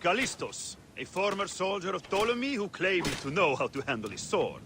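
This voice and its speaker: A man's voice: high-pitched, big pitch swings, normal speed. A man voicing a showy, cynical gladiator boss in a video game, with a theatrical, sardonic manner. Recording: clear background noise.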